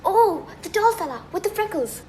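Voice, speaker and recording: A teenage girl plays an innocent, kind factory girl in a radio play. She sounds high and earnest, with faint noise in the background. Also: lively intonation, moderate pace, a little mellow. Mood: curious. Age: teenage high school student. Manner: earnest, questioning. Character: naive, kind-hearted young 1920s factory girl. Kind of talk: radio drama acting.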